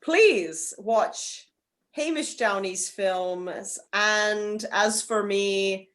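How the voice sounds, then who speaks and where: A woman's voice: mid-range voice, extremely slow, a bit bright. A woman chatting and joking casually on a podcast, playful and mock-dramatic in her delivery. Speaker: podcast panelist.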